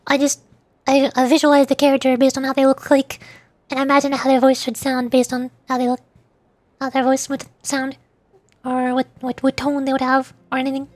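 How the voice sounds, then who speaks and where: A woman livestreamer chatting casually, thinking aloud in a halting, giggly way. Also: high-pitched, completely flat delivery, a little mellow, no room sound. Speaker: streamer, VTuber.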